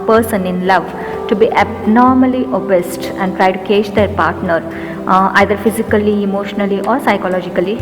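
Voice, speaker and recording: A woman with an Indian English accent whose first language is Urdu, a poet and English teacher reciting her poem in a measured, earnest way and explaining it between verses. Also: mid-range voice. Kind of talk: poetry recitation with commentary. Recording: long reverb with background music.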